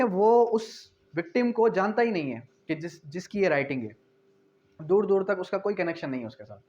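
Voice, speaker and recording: A man with a Pakistani accent lecturing on law in a didactic way, posing questions and answering them, mixing English terms into his explanations. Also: sits high. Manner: didactic, poses questions then answers them, repeats key phrases; mixes English legal terms into speech. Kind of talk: explanatory lecture with everyday examples. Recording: dull, muffled lo-fi sound.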